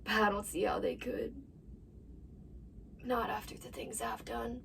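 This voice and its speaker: A woman's voice: mid-range voice, unhurried, vocal fry, a bit bright. A woman performing a dramatic monologue as a desperate young farm wife confessing to her absent husband in an anguished manner. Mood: guilty, hushed. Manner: anguished, swinging between whispers and outbursts. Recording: distant mic with faint background noise.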